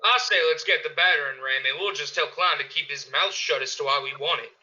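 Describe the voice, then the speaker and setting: A man's voice: fairly high pitch, a little fast, bright, forceful tone. A man playing a hot-headed suburban neighbor in a panicked radio drama. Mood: angry, threatening. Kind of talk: radio play acting. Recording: dull lo-fi audio.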